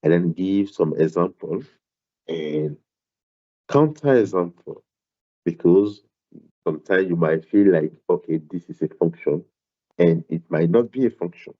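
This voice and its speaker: A man's voice: sits low, lively intonation, measured pace, long pauses. A man lecturing a maths class over a video call, speaking English with a non-native accent and stumbling through lots of repeated words and fillers. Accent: non-South African, foreign to English. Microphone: computer mic on a video call. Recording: dull lo-fi online audio.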